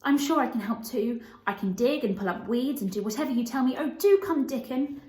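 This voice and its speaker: A woman's voice: a little high, a little fast, warm tone, reverberant, pitched above the performer's own voice, natural voice. A woman voicing an earnest, eager and slightly anxious little girl in a children's storybook, with a pleading manner.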